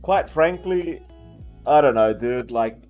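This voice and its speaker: Australian male caster, mid pitch, blunt and sweary, faint guitar underneath. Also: lively intonation, takes it slowly, highs cut off. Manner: blunt and casual, swears often, starts and restarts sentences. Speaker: esports caster. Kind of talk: casual podcast chat.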